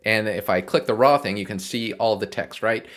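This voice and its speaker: A man's voice: fairly low pitch, normal speed, a bit bright. A man giving an informal, explanatory screencast tutorial on coding, often checking in with the listener. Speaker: programming tutorial host. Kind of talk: casual walkthrough of code in a screencast tutorial.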